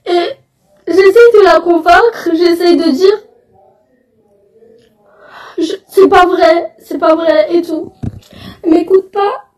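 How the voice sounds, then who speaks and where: A teenage girl, crying and halting as she tells her story to a phone camera indoors. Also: very high-pitched, darkish tone, roomy sound, far from the mic. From Belgium. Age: teens. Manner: tearful, halting, upset. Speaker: student. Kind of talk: selfie video testimony. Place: indoors, small room. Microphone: phone held close, front camera.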